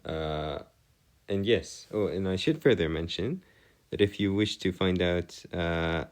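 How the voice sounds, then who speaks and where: Slow, deep-voiced man tutoring math. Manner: formal, wordy phrasing, hesitant, self-correcting. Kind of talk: informal lecture, explaining step by step.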